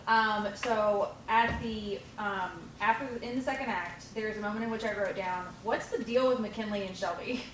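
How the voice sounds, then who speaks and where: A woman's voice: medium pitch, normal speed, few pauses, fairly bright tone, a little hiss or hum. Frank, casually swearing woman in an echoey room. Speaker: audience member giving notes. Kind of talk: casual feedback discussion. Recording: echoey room, distant mic.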